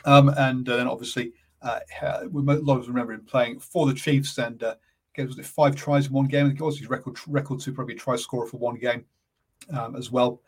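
A calm New Zealand man talks through rugby news in a casual live stream, pausing often with ums and uhs. His voice is husky and a little breathy, set at a middle pitch for a man. He sounds easygoing and soft-spoken. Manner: halting, many ums and uhs. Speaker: rugby podcast host.

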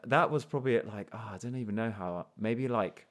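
A man's voice: lowish voice, a little fast. A man, an artist guest, talking in a loose, reflective and easygoing way with frequent restarts. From grew up in Portugal, then England. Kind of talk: casual conversational interview.

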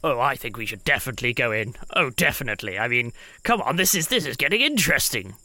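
A man's voice: mid-range voice, very animated, fast talker, sharp tone, no echo, heavily acted. A man playing an eager, reckless scientist in a tabletop game, with a theatrical, pompous manner.

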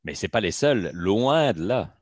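A man's voice: on the low side, sing-song, a little slow, no echo, clean studio sound. A man hosting an interview, asking long winding questions and giving short replies. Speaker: podcast host. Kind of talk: interviewing a guest.

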